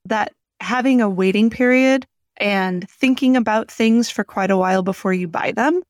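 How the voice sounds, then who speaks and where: A woman podcast co-host with a medium-pitched voice, talking casually and reflectively. The recording is dry, without any room sound. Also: normal speed, no room sound. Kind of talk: casual chat.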